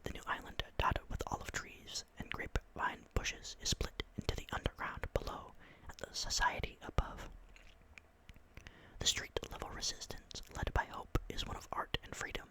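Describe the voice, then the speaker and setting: A young man whispers right up against the microphone, breathy and unvoiced throughout. He sounds tired but gets excitable, drifting into rambling asides and apologies between stretches of reading. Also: moderate pace, low-quality recording. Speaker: ASMR creator. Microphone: close microphone.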